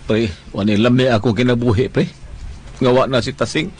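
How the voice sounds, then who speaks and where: A man's voice: mid-range voice, steady tone, moderate pace, darkish tone, natural voice. A man playing a devoted, stubborn older widower in a comic Bisaya radio drama, pleading plaintively and repeating himself. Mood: lovelorn longing. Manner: plaintive, insistent, repetitive.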